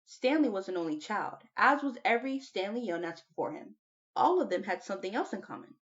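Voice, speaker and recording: A woman's voice: mid-range voice, very animated, brisk, lo-fi sound. A woman reading a children's novel aloud as an audiobook narrator. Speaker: audiobook reader.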